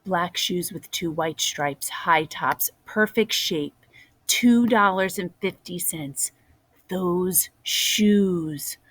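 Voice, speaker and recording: A woman reading a children's picture book aloud in a deliberate way, as a teacher does for young listeners. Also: lowish voice, fairly bright tone, no echo. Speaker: storybook reader, teacher.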